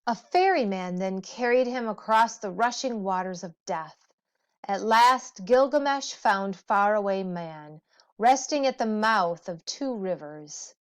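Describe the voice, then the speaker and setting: A woman reading a storybook aloud as a teacher would, expressively and deliberately, with dramatic pauses. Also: mid-range voice, lively intonation. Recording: dull, muffled lo-fi audio.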